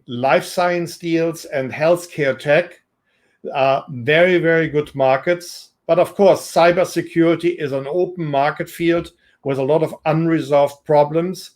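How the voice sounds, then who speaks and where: Man with a German accent, somewhat high voice, measured businesslike pitch-style talk. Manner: measured, businesslike, with frequent ums. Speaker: investor and entrepreneur. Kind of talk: self-introduction to camera.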